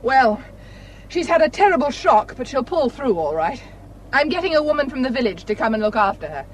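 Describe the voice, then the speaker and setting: An actress playing a brisk, forthright woman country doctor in a radio play. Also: high voice, sing-song, a little hiss or hum, poor audio. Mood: brisk, concerned. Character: brisk country doctor, fond of her pony.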